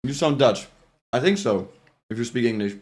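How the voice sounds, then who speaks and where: A man's voice: mid-range voice, expressive, normal speed. A man with a German accent talks through a live football reaction stream. He chats loosely, stops and restarts sentences, and thanks viewers as their messages come in. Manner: chatty, reads out chat messages, many restarts. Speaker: livestream host reacting to a match. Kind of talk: casual live reaction chat.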